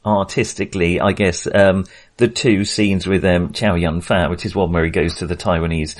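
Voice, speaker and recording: A man with a slightly low-pitched voice discusses a film in a relaxed, conversational way. He is a podcast co-host who thinks aloud, hesitating and rephrasing as he goes. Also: normal speed.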